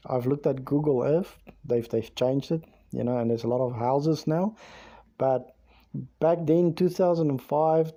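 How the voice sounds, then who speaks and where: Slow, dark-voiced man. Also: mid-range voice. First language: Afrikaans. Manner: rambling, with many "you know"s and repeated words. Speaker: former police officer telling a true story. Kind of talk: casual spoken storytelling.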